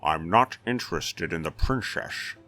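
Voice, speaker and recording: A man voicing a stoic, dangerous monster hunter with a resounding voice, calm and terse, in a fantasy audiobook. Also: low voice, a little slow, soft background music, full character voice. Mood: flat, resolute. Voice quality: resounding, metallic. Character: stoic, dangerous male monster-hunting stranger.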